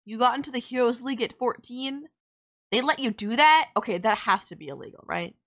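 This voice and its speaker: A woman's voice: a little high, animated, brisk, a bit bright. Woman acting as a bossy, caring villainess. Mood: indignant. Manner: teasing, exasperated, scolding. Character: female villain nemesis, bossy but caring, sardonic. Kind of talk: audio roleplay acting, addressed to the listener.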